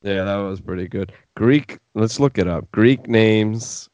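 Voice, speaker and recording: Low-voiced man hosting sports talk. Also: a little slow, darkish tone, no echo, highs cut off. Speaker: sports show host. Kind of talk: casual sports talk show banter.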